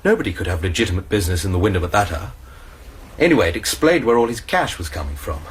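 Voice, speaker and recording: An agitated young student in a radio play. His pitch moves around a great deal as he anxiously explains himself, over faint background noise. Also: expressive, quick pace. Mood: earnest recounting. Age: young adult. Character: anxious, agitated male student confessing to a fight. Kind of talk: radio drama acting.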